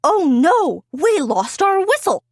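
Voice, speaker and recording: Storybook train engineer played by a woman: kindly, folksy man, high voice, unhurried drawling phrasing. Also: very animated, a little mellow, no echo, clean studio sound, full character voice. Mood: worried.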